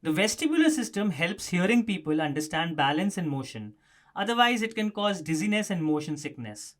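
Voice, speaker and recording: A man's voice: high voice, sing-song, brisk. A man presenting a scripted explanatory news story as a narrator.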